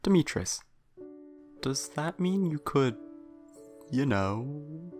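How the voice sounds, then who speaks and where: A man playing a hesitant, gently teasing human passenger aboard a starship in an audio drama, speaking in a stammering, tentative way. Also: a little high, very animated, slow, faint music behind. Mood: curious, awkward.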